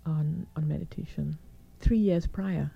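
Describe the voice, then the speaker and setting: A middle-aged woman doctor with a croaky, hoarse voice, calmly explaining her experience as a radio guest. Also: deep voice, unhurried, dull audio. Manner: calm, reassuring, explains step by step. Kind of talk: radio interview.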